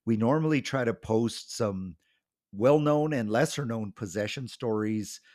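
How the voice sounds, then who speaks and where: A man's voice: mid-range voice, measured pace. A man hosting a podcast with a cold, talking casually and rambling a bit, with plenty of ums. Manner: relaxed, rambling, many ums. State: has a cold, voice may crack. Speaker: podcast host; pastor and author.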